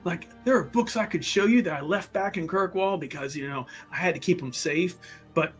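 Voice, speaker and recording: A man voicing a roguish, thrill-seeking occultist in a tabletop game, rambling, excitable and conspiratorial. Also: high-pitched, a little fast, breathy edge, soft background music. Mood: conspiratorial, boastful.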